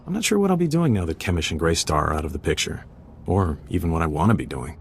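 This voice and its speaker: A casual male operative in a sci-fi game. His low voice moves in a lively way. He sounds sincere and a little hesitant, with clear ambience behind him. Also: fast, slight background noise. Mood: wistful. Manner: informal, earnest, hesitant. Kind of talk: video game voice acting, casual dialogue.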